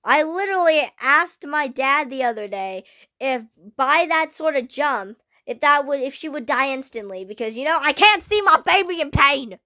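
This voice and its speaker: A teenage girl ranting casually about a film to camera, rambling with many fillers, her voice slightly hoarse. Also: high-pitched, lively intonation, normal speed, pushed and bright. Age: teens. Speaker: vlogger. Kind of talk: casual fan rant to camera.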